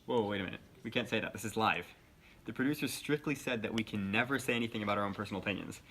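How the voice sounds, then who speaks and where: A man's voice: lowish voice, racing, fairly bright tone. A man playing a news co-anchor in a scripted mock newscast. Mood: flustered. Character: flustered male news co-anchor on live mock broadcast. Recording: faint background noise.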